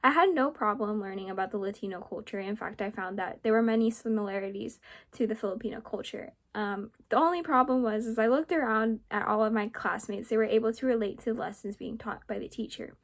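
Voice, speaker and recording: A teenage girl, a high school student, reading her personal essay aloud, hesitant, with fillers and small laughs. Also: fairly quick. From United States. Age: teens.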